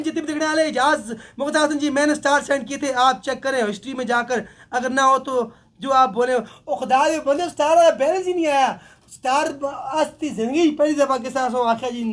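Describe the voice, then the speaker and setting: A man hosts a live stream, his voice hoarse from a sore throat. He talks very fast, greeting viewers by name and joking along. His voice sits very high for a man. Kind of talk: casual live chat reading viewer comments.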